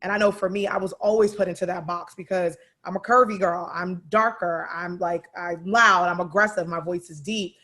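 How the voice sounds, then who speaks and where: Animated woman podcast host. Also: fairly low pitch, moderate pace, few pauses. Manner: animated, emphatic, colloquial. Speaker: talk show co-host. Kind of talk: casual panel chat.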